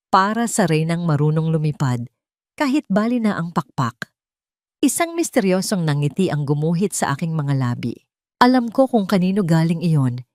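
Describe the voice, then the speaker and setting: A woman reading scripted first-person narration of a dramatic story in a measured, solemn way. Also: lowish voice, darkish tone, dry recording, studio quality.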